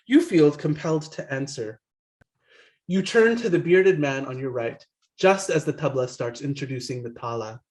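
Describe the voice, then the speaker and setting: A man reading his own poetry aloud during a virtual workshop, pausing with soft fillers between poems, heard through a video call. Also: a little high. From born in London, family from Guyana. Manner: reads in second person, stops with soft fillers between poems. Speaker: poet reading his work.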